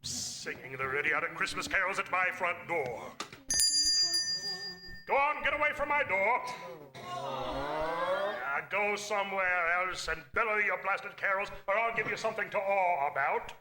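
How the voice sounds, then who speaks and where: An actor playing Scrooge, a bitter and miserly old man, with a gruff, scornful, snarling delivery in the style of 1940s radio drama, recorded live on stage. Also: high-pitched, sing-song, measured pace, long pauses, crowd noise. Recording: live stage with long reverb.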